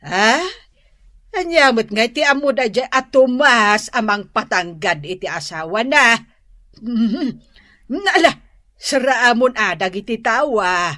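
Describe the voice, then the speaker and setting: An Ilocano grandmother in a comic radio drama, doting, bossy and deeply sentimental. Her high voice swings widely and takes its time, stretching exclamations into melodramatic wails. The sound is close and dry. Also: lively intonation, bright, forceful tone, dry recording. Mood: bossy, fussing. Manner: melodramatic, wailing exclamations and drawn-out cries. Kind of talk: comedic radio drama acting.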